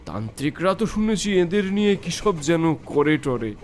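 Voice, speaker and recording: An actor playing an anxious elderly father in an audio drama, polite and deferential, with halting, stammering, apologetic speech. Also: a little high, very animated, a little hiss or hum. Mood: hesitant, curious. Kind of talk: Bengali audio horror story acting.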